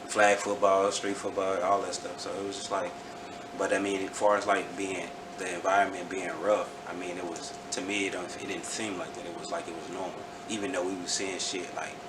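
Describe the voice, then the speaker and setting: Young man for a relaxed interview, very low voice, roomy echo, mic far off. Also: a little fast, noisy background. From Houston, Texas. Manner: laid-back, rambling with frequent restarts and fillers. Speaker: rapper guest. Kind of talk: casual interview chat.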